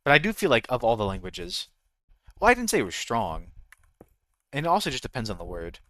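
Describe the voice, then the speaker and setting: American man, very lively, swooping pitch. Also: fairly quick, no room sound. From United States. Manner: rambling, self-correcting, jokey. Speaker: game streamer. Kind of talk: casual livestream chat.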